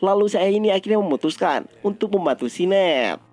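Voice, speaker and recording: A man's voice: sits high, lively intonation, a little fast, darkish tone, soft background music. A man with an Indonesian accent narrating a story recap in an informal, scripted style.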